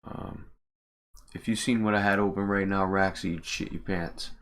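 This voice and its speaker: A man's voice: low-pitched, steady tone, normal speed, fairly bright tone. A man giving casual live commentary as a game modder and streamer, muttering and thinking aloud as he works at his computer. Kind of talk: casual live commentary while working on screen.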